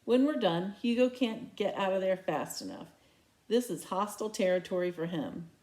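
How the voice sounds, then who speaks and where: A woman's voice: medium pitch, lively intonation, normal speed, a little mellow, rough recording. A woman reading a storybook aloud as narration, telling the tale in the first person. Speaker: read-aloud reader.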